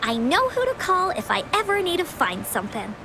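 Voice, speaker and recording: A woman voicing a cheerful, excitable young girl adventurer in a video game. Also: very high voice, fairly quick, noisy background, heavily acted. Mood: excited. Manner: bubbly and excitable.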